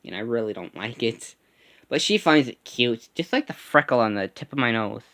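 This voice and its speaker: A young man with a slight lisp from a gap in his front teeth, talking casually and a little haltingly in a couple's vlog. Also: mid-range voice, expressive, a bit bright. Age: young adult. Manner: halting, stammers at times. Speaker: vlogger. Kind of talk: casual chat.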